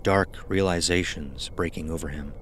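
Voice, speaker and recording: A man's voice: low-pitched, normal speed, a little hiss or hum. A man reading a scripted horror story as its narrator. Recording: music behind the voice. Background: music.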